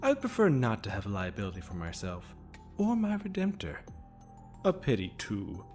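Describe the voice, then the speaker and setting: A man's voice: on the low side, huge pitch swings, normal speed, a little mellow, faint music behind. A man playing a sadistic, mocking demon villain in an audio drama, with a drawling, mock-courteous manner. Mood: taunting. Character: sadistic, mocking demon predator, theatrically polite, menacing.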